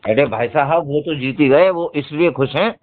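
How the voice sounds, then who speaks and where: A high-voiced man speaks over a thin, narrowband phone line. He explains politics in a casual but insistent way, pressing his points on the person he is talking to. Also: moderate pace. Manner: insistent, explanatory, addressing the listener as brother. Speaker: journalist discussing politics. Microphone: phone call. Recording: tinny, narrowband phone call recording.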